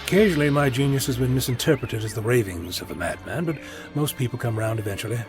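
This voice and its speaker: Lively, wry eccentric time traveller played by a man, over music. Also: medium pitch, fast talker, natural voice. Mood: puzzled, wry. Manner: wordy, breezy, gently lecturing. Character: eccentric male time traveller, wry, prudent, self-assured genius. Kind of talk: audio drama acting.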